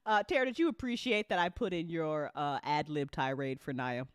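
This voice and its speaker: A woman hosting a casual chat as a drama writer, excitable and gushing, often repeating phrases for emphasis. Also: deep voice, brisk, clear, resonant tone, dry recording, clean studio sound. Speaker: audio drama writer and after-show host. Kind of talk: casual after-show chat.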